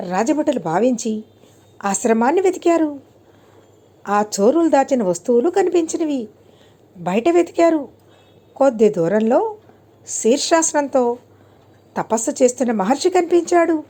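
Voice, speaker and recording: A woman reads scripted moral stories for children. Her voice sits fairly high yet stays soft-edged and mellow. She takes her time, setting out short, deliberate phrases with frequent pauses. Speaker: storyteller.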